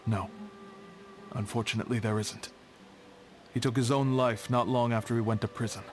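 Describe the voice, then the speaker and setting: A man playing a serious, guarded scholar in a video game, speaking in a measured, formal way. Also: medium pitch, fairly even intonation, soft background music, natural voice. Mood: somber. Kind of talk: video game character acting.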